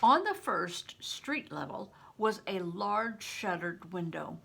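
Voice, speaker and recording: An older woman reading a story aloud as a narrator, with deliberate, emphatic expression. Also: on the low side, big pitch swings, creaky, fairly bright tone, breathy edge. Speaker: storybook reader, retired reading teacher. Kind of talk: expressive scripted audiobook narration.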